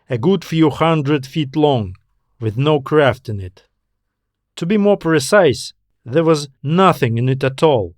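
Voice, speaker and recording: A man's voice: medium pitch, no room sound, studio quality. A man reading a scripted science fiction story as an audiobook narrator.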